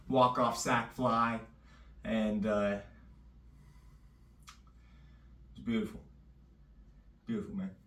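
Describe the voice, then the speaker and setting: A man chatting casually in a loose, rambling vlog, his voice a little raspy. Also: mid-range voice, a bit bright. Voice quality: slightly raspy. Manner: loose and rambling, full of fillers. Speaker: vlogger. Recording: echoey room, distant mic.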